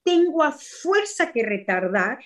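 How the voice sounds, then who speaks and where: A woman whose first language is not Spanish, speaking slowly and reflectively with many fillers and pauses. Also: high-pitched, big pitch swings. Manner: hesitant, many fillers, slow thoughtful pauses. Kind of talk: reflective spoken explanation.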